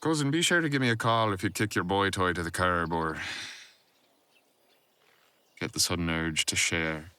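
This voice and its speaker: A man's voice: deep voice, moderate pace, pauses often, sharp tone, clear, resonant tone, pitched below the performer's own voice. A man playing a haughty, teasing, flirtatious cousin with an arch, insinuating drawl, in a fantasy audio roleplay.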